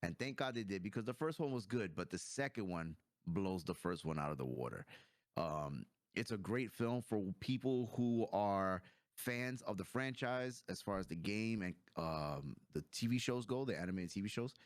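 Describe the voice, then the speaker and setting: A man's voice: lowish voice, expressive, normal speed, no room sound, clean studio sound. A man giving his opinions as a guest in a casual movie review chat, explaining his points as he goes.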